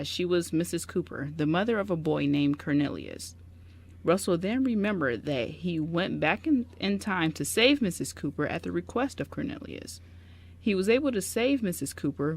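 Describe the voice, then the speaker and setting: A woman's voice: deep voice, creaky. A woman on a radio show reading a story synopsis aloud, stumbling now and then and correcting herself. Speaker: radio show cast member.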